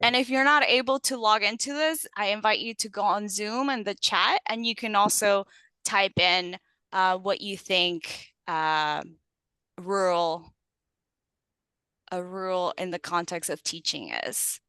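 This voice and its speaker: A woman's voice: medium pitch, unhurried, bright, forceful tone, clear, resonant tone, no room sound. A young adult woman from Colombia giving an online presentation to teachers, friendly and explanatory with frequent ums. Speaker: guest speaker, teacher trainer.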